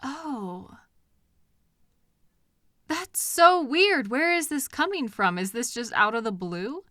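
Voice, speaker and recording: A woman's voice: high-pitched, no echo, studio quality, full character voice. A woman playing a caring partner in an intimate comfort roleplay, speaking tenderly and expressively straight to the listener. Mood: concerned, puzzled. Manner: tender, expressive, with soft pauses and questions. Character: caring, gently indignant woman comforting her upset partner. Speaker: audio roleplay performer. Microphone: close microphone in a dry room.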